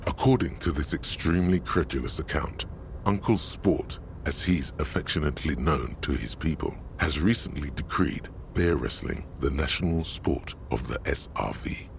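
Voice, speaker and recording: An actor playing the dry, erudite inner voice of a detective's mind in a video game, measured and drily ironic, with a gravelly tone. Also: male voice, a little hiss or hum. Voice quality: very deep, gravelly. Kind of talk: scripted narration of a video game. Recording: music behind. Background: game music.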